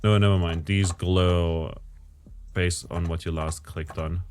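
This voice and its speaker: Deep-voiced guy playing a card game, strained voice, talking to himself, faint hiss. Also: steady tone, takes it slowly, a little mellow. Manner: thinks aloud, muttering calculations and self-corrections. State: voice strained lately. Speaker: gameplay commentator. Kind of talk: casual gameplay commentary.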